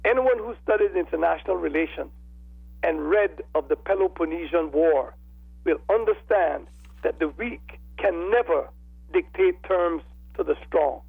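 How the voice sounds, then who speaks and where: Croaky older man phoning in. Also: on the high side, a little mellow, no room sound. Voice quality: croaky, raspy. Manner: deliberate, formal, oratorical phrasing. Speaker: radio phone-in caller. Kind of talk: measured political commentary. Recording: telephone call into radio show.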